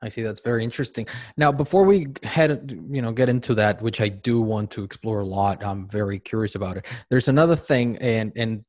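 A man's voice: on the low side, a little fast, few pauses, a little mellow, no room sound. Mexican-accented man hosting, dry sound. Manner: chatty, with repeated restarts. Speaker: podcast host. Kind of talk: interview on a video call. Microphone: microphone on a stand.

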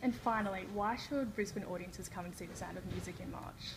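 A woman's voice: mid-range voice, fairly quick. A woman asking casual questions as an interviewer. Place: large indoor hotel lobby. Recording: noisy room ambience.

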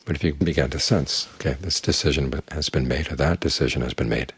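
An older man, a Buddhist monk, giving a calm, plain-spoken evening dharma talk that leans on homely images. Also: a bass voice, quick pace, husky, no echo.

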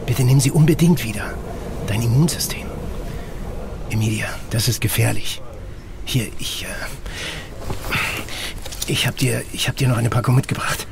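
An actor playing a secretive, protective middle-aged police agent in an audio drama, terse, hushed and insistent. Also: male voice, medium pitch, a little slow, pauses often. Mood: urgent, worried. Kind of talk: German audio drama acting. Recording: clear background noise.

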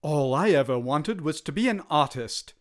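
Man acting rough-spoken, wary army soldier. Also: a little high, expressive, moderate pace, close to an everyday voice. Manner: rough ungrammatical speech.

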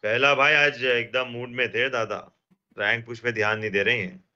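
A man's voice: medium pitch, steady tone, moderate pace, sharp tone, dull audio. A man with an Indian accent talking casually to teammates in a game voice chat. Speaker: streamer's gaming teammate.

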